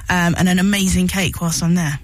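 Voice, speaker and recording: A woman's voice: lowish voice, fairly even intonation, brisk, no room sound. Enthusiastic woman hosting a radio interview. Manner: enthusiastic, informal. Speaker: radio show host. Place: radio studio.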